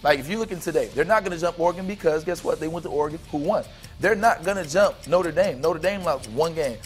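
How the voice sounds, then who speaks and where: A man in his thirties argues his points with animated energy, rattling off teams in quick lists. His voice is somewhat high, and faint music plays behind him in the studio. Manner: animated, argumentative, rapid lists. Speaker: studio analyst, former player. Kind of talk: studio sports talk. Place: studio set with couches. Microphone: lapel.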